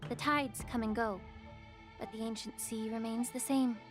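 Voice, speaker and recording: A woman voicing a poised, gracious game character, a shrewd dragon-clan healer who speaks in measured, polite, formal phrases. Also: fairly high pitch, fairly even intonation, natural voice. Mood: wistful, calm. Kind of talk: scripted video game voice acting. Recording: game music behind the voice.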